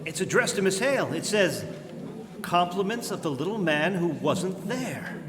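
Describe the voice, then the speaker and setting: A man acts out a wealthy young gentleman sleuth from a 1940s-style radio play. His delivery is urbane and theatrical, with a high, very animated voice. It rings through a large hall with music behind. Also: normal speed, crowd noise. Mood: earnest. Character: wealthy young man about town, secret crime fighter. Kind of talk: 1940s radio drama acting. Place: church, live stage performance.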